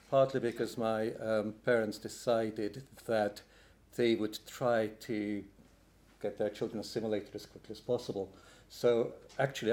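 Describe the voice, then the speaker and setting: An older man originally from Hungary speaks on a roundtable panel. His voice sits in a middle pitch, and he talks haltingly, with frequent ums and restarts. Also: darkish tone. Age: 60s. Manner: hesitant, many ums and restarts. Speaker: poet and translator on a panel. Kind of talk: panel talk, personal account.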